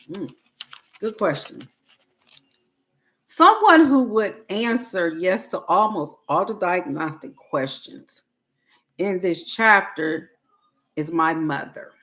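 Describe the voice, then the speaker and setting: A woman reads aloud from a self-help book at a very unhurried pace. Her voice sits a little low, and she breaks sentences into short, deliberate pieces, pausing between them. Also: animated, a bit bright. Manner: halting, deliberate phrasing with pauses and repeats. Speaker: host reading a book aloud on a livestream.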